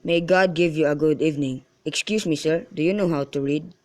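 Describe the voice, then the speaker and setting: A man's voice: fairly high pitch, a little fast, natural voice. A man playing a polite, simple household servant in an amateur radio drama, speaking courteously.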